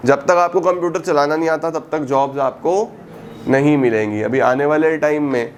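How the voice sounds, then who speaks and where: A man teaching an economics class. He keeps asking the students questions and answers them himself, mixes Hindi with English terms, stretches out key words slowly and calls his students "beta". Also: on the high side, slight background noise. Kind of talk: recorded classroom lecture.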